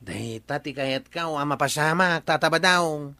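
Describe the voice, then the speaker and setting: A man's voice: fairly high pitch, moderate pace, background noise, natural voice. A man with an Ilocano accent playing a grumbling, bossy village man in a radio comedy drama. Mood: scheming. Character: grumbling, grudge-bearing village man, bossy older brother.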